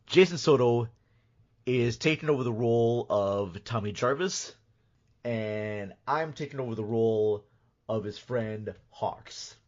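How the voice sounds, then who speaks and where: A man chats casually as a podcast host. His voice sits at a medium pitch and his manner is joking and mock-serious. The audio is a bit dull. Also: measured pace, darkish tone.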